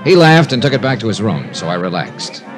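A man's voice: lowish voice, very animated, fairly quick, crowd noise. An American actor playing a wry, hard-boiled male investigator who also narrates, in a 1950s radio drama. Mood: tense narration. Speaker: lead actor and narrator of a detective series. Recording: 1950s radio broadcast with music.

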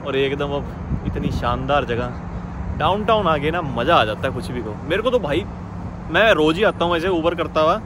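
A young man chats casually to camera as a vlogger, telling his day in an animated, chatty way. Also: on the high side, normal speed. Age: young adult. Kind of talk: casual vlog chat to camera. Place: car, street and home. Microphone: phone at arm's length. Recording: noisy handheld vlog with car sound.

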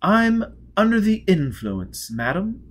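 A man's voice: high voice, very animated, takes it slowly, voice pushed up, exaggerated voice. Man playing a flippant, witty upstart playboy. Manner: flippant, suave. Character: smooth-talking, witty upstart playboy charming high society.

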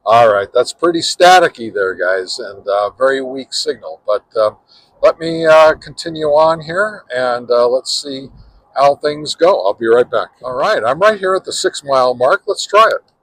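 A man in his sixties hosting a casual radio-testing vlog, talking in a relaxed, halting way with frequent pauses and restarts, and counting off test calls in a steady cadence. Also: medium pitch, poor audio. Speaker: ham radio channel host.

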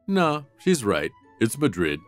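A man voicing a gruff, stern German shepherd guard dog in a comic dub, blunt and deadpan. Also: mid-range voice, unhurried, dry recording, faint music behind. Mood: matter-of-fact. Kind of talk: comic dub character acting.

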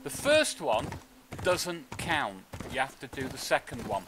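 A man's voice: mid-range voice, big pitch swings, measured pace, background noise. A man streaming a video game, giving casual, wry commentary as if half to himself, with game audio behind him. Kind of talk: casual live gameplay commentary. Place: indoors at a streaming setup.

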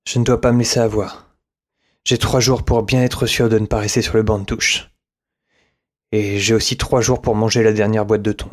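A man's voice: on the low side, little pitch movement, moderate pace, natural voice. A man playing a lonely, rambling survivor of a zombie apocalypse in an audio drama, hesitant and muttering to himself. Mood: bitter, wry. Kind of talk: audio fiction acting with inner monologue.

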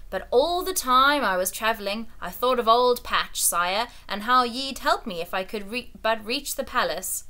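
A woman's voice: fairly high pitch, expressive, moderate pace, hardly any pauses, bright, forceful tone. A woman voicing a poor, tearful old shepherd who speaks in a rustic country dialect. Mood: pleading.

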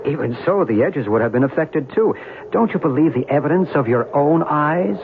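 An actor playing an earnest, insistent UFO believer in a 1970s radio drama, measured and formal. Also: male voice, a little high, animated. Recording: noisy, tinny 1970s radio broadcast.

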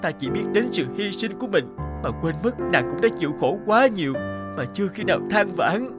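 Proud young folktale sculptor, frustrated, earnest, raised pitch, very expressive, musical backing. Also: male voice, fairly quick, over loud music. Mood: remorseful. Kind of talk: cartoon folktale acting.

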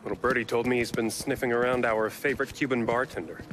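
An actor playing a crude, slightly unhinged bounty hunter in a video game, flippant and wisecracking. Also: male voice, medium pitch, steady tone, quick pace, close to an everyday voice. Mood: cocky, flippant. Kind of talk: video game cutscene acting.

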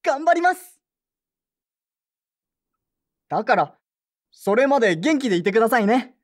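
A woman's voice: a little high, big pitch swings, unhurried. A woman playing an earnest, polite teenage boy in a Japanese audio drama. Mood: heartfelt. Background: background music.

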